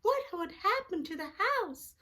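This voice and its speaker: Woman doing a high, eager childlike puppet friend. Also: sing-song, unhurried, sharp tone, full character voice. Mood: eager, cheerful. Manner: eager, polite, simple childlike answers. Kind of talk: children's storytime character chat.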